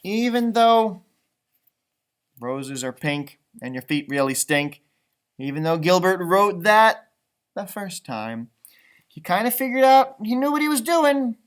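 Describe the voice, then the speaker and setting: Animated man reading to young children. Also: high-pitched, measured pace, pauses often, smooth. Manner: encouraging, chatty, stretches words for young listeners. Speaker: teacher reading a storybook aloud. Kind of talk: animated children's read-aloud with casual asides.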